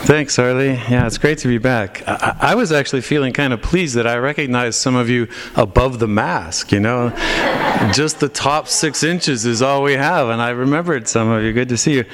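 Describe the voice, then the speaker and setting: A retired pastor in his sixties, guest preaching, relaxed and self-deprecating with easy humor. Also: medium pitch, normal speed, a little hiss or hum. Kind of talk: casual greeting then leading worship. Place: church sanctuary. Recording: long reverb in a church hall.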